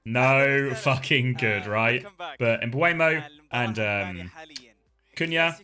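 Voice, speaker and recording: A man's voice: medium pitch, very slow, crowd noise. A young man from Kent with a southern English accent, chatting casually and rambling to his stream viewers, full of fillers and restarts. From England (Kent).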